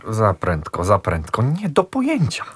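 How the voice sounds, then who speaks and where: A man's voice: lowish voice, huge pitch swings, a little slow, breathy edge, full character voice. An actor playing a sarcastic, disapproving older man who mutters asides in a radio play. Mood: grumbling.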